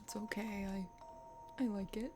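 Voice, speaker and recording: A woman voicing a shy, gentle young horned man in a fantasy dating game, softly and in a hushed, bashful way. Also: medium pitch, steady tone, takes it slowly, natural voice. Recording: music behind.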